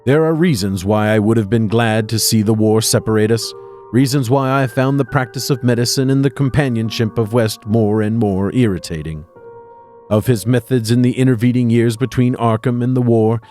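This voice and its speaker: Low male voice, an actor as haunted army doctor, grave formal delivery, gothic horror audio drama, faint music. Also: normal speed, a little mellow, lightly acted. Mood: dread. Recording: music under the voice.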